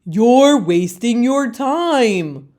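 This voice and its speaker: A woman voicing know-it-all, taunting leaves in a children's storybook, speaking loudly and drawing out the words. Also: a little high, expressive. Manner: slow, loud, drawn-out taunting.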